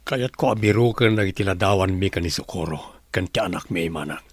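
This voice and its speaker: A man's voice: deep voice, fairly quick, no room sound. A man playing a considerate but firm household employer in an audio drama. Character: considerate male household employer, firm about his family.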